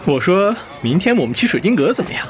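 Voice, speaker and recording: Man playing an animated, high-voiced young nobleman. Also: fast, soft background music. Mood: teasing, scheming. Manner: chatty, exclamatory, teasing. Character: talkative, excitable young nobleman, cheeky and dramatic. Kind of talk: Chinese audio drama acting.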